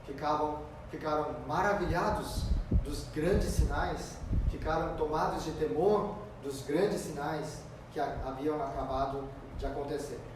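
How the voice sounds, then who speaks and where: A man preaches from far across a very echoey church sanctuary, his slightly high voice carrying through the noisy room. His delivery is emphatic, slowing down on key words and swinging between loud and hushed. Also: steady tone. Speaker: pastor. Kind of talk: expository sermon.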